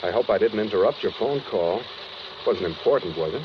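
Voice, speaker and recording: Man acting a low, animated, clipped American doctor. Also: fairly quick, lightly acted. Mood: insistent. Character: hurried, smooth-talking male doctor, quietly sinister. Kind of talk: 1940s radio suspense acting. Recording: noisy, muffled 1940s radio recording.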